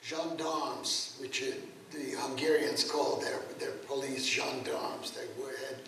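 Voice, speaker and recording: An elderly man in his eighties, from Czechoslovakia and a native German speaker, speaks slowly and haltingly, stopping often to restart. His voice rings in a very echoey room, heard from a distance, with faint noise underneath. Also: mid-range voice, steady tone, a bit bright. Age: 80s. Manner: halting, many fillers and restarts. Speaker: guest speaker, Holocaust survivor. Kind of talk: spoken testimony lecture. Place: lecture room. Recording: very echoey room, mic far off.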